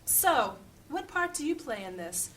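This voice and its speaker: A woman playing a commanding, imperious mage in a fantasy audio drama, stern and formal, quick to threaten. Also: high-pitched, very animated, a bit bright, slight background noise, full character voice. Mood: probing.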